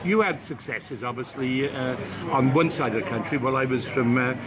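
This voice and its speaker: A man's voice: medium pitch, a little fast. An older man from Wales, chatting casually as an interviewer, laughing often and filling his talk with ums and uhs. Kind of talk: casual chat. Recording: outdoor street recording with crowd noise.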